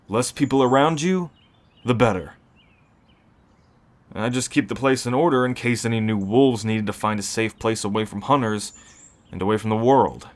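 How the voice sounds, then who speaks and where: A man playing a weary, protective werewolf who keeps a refuge, speaking in a measured, earnest way for an ASMR roleplay. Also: on the low side, natural voice.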